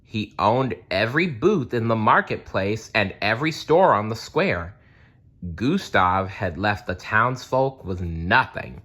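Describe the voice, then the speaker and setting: Slow, bright-voiced man reading a story. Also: fairly low pitch, expressive. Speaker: read-aloud storyteller. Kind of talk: children's story read-aloud with comments.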